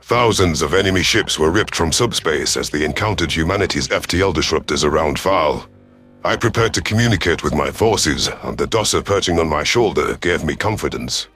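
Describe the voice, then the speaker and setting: A man voicing a proud, gruff reptilian predator commander who narrates a sci-fi story in the first person, stern and formal. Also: very deep voice, animated, a little fast, fairly bright tone, soft background music. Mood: grave narration. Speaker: narrator in character.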